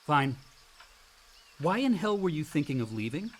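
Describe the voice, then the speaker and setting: A man's voice: a little high, very animated, moderate pace, a little hiss or hum. A man acting a bitter, hot-tempered young adult son in a naturalistic radio play, blunt and sarcastic. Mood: sullen.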